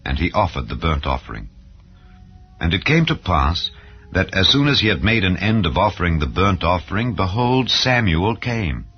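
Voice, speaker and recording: Male Bible narrator: low-pitched, solemn and formal, dramatized reading, muffled recording with faint music. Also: big pitch swings, moderate pace, husky. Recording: dull, lo-fi audio. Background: background music.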